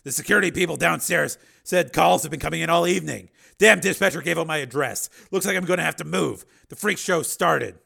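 Guy doing a gritty robot cop for an audiobook, bitter n sarcastic, creaky forceful voice, blows up easy. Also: a little high, fast talker, few pauses, voice pushed up, heavily acted. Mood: angry, fed up. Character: bitter, wounded cyborg policeman, gruff and explosive. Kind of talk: dramatic audiobook character acting.

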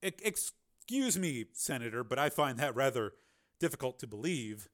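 A man voicing a desperate male project chief who speaks in a strained, halting way and bursts into furious shouts. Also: medium pitch, big pitch swings. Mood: shaken.

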